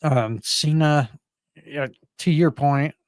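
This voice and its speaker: A man's voice: on the high side, slow, dry recording. A man co-hosting a podcast in casual sports talk, rambling a little as he thinks aloud. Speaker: podcast co-host. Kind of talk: casual sports prediction chat.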